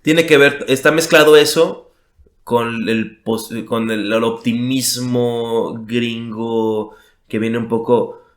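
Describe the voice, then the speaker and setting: A man's voice: mid-range voice, fairly even intonation, slow, echoey room. A young Mexican man chatting casually as a podcast guest, self-mocking and full of "o sea" fillers. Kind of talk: casual conversation.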